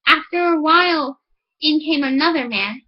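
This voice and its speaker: Very high-voiced woman reading a fairy tale. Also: moderate pace, dull audio. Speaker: audiobook reader. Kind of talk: scripted fairy tale narration.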